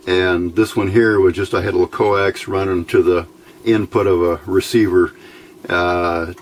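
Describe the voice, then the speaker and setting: Low-voiced guy doing a hobby electronics walkthrough, really slow and halting, noisy background. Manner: halting, thinking aloud while handling parts. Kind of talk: informal hands-on electronics tutorial.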